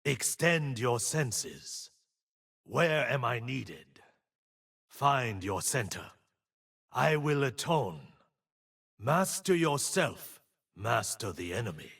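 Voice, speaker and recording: Gravelly, solemn warrior monk. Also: male voice, medium pitch, very animated, fairly bright tone, full character voice. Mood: solemn resolve. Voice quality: gritty. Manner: solemn, measured, wise. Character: stern, disciplined blind martial monk bearing a dragon spirit. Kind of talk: video game character voice lines.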